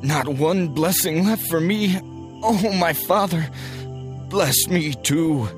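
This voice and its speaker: An actor playing an emphatic, hot-tempered eldest son, a hunter, in a dramatized audio Bible. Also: male voice, on the low side, expressive, normal speed, music in the background. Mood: bitter pleading. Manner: emphatic, emotional delivery.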